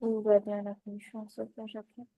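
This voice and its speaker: A woman leading a guided meditation, giving calm, unhurried instructions in short phrases with pauses. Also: mid-range voice, dry recording.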